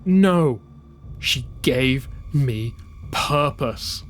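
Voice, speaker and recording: A man's voice: mid-range voice, lively intonation, very slow, slight background noise. A man voicing an earnest, imploring younger sister turned zealous traitor in an audio drama. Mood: hurt defiance.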